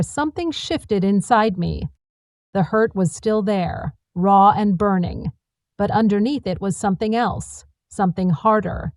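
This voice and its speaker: A woman narrates a scripted family drama in the first person. Her voice sits at a middle pitch for a woman, and the recording is very dry, with no room around it. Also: no room sound.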